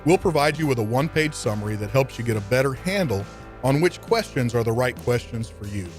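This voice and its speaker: A man reading a scripted radio advertisement with measured, deliberate enunciation. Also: mid-range voice, expressive, faint music behind. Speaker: advertisement announcer.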